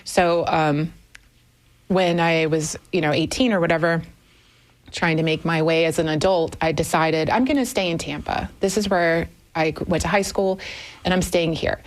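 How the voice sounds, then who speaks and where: A woman's voice: sits low, steady tone, moderate pace. A woman in her fifties from the United States, a guest telling her personal story candidly in conversation, often correcting herself. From United States, moved often as a military child, Minnesota family. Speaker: interview guest. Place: radio studio.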